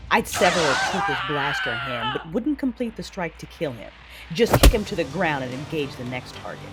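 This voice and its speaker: An actress playing a cruel, cold cyborg warrior mother in an audio drama, measured, menacing and commanding. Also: sits low, huge pitch swings. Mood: cold menace. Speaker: narrator of own story in audio drama. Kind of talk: dramatic first-person narration and acting. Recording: music bed behind voice.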